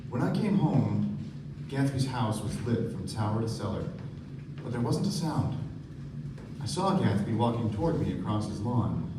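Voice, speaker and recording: An actor playing an earnest young man, narrating and talking in a measured, plain way in a stage radio play. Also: medium pitch, big pitch swings, natural voice. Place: theatre stage before an audience. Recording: distant, echoey stage with room noise.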